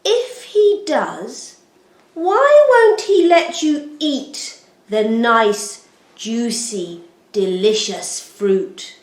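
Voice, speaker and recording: A woman voicing a sly, deceitful storybook serpent who coaxes in a slow, insinuating whisper. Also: a little high, expressive. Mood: sly, mock-pitying. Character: sly tempting serpent, male, coaxing and deceitful. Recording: roomy, echoing sound.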